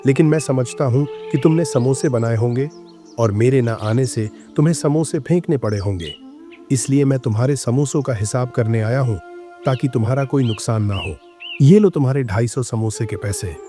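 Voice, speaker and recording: A considerate rich merchant played by a man in a children's moral cartoon. His mellow, mid-pitched voice is measured and fair, with light music behind. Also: close to an everyday voice. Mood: kindly. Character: kindly, fair wealthy male merchant customer. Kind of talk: Hindi children's moral-story cartoon dub.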